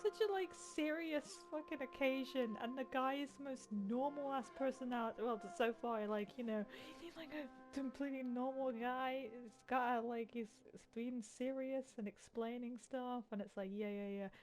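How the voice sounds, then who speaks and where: A woman's voice: high voice, normal speed, few pauses. Sarcastic, sweary woman gamer over game music. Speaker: gamer, let's player. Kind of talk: casual gameplay commentary.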